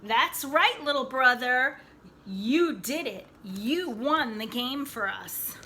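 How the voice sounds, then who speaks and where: A woman's voice: high-pitched, animated, slow pace, sharp tone, a little hiss or hum, heavily acted. A woman voicing a supportive older brother and teammate from a storybook, rallying and emphatic. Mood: proud.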